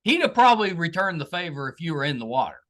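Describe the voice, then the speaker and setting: A man's voice: fairly high pitch, big pitch swings, quick pace, a bit bright. A man hosting a casual interview, with folksy, easygoing conversational phrasing. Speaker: podcast interviewer, host.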